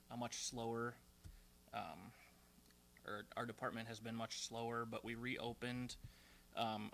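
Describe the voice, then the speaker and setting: A young man speaks in a very even, flat tone, with a lilt and frequent ums. A faint hum sits under his voice in the community room. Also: medium pitch, gravelly. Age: young adult. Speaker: transportation manager giving a talk. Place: community room at a retirement residence.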